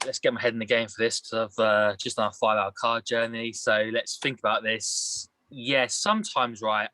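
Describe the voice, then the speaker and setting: A man with a bright, forward voice of medium pitch, recorded close and dry. He rambles through casual sports opinions in a matey, colloquial way. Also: no room sound. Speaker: podcast panellist.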